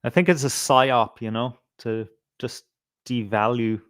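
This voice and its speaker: A man's voice: mid-range voice, steady tone, a little slow, a bit bright, dry recording, studio quality. A middle-aged man with an Irish accent hosting a casual live stream, swearing freely as he rambles and hesitates through his commentary. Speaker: livestream host.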